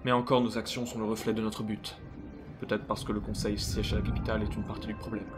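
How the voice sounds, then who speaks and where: A composed warrior-monk mentor, acted by a man in a dramatic comic dub. His voice sits fairly low and stays very even, measured and solemn, with loud music behind him. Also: lightly acted. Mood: troubled, reflective.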